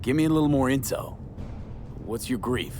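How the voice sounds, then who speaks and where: An actor playing a wry, street-smart young mercenary in a video game, talking in a casual, clipped way. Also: male voice, medium pitch, lively intonation, moderate pace, over music, natural voice. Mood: easygoing.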